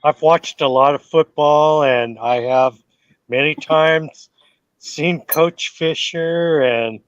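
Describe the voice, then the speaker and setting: A man chatting casually in a halting way, laughing often. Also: fairly high pitch, clean tone, no echo, lo-fi sound. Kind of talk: casual chat.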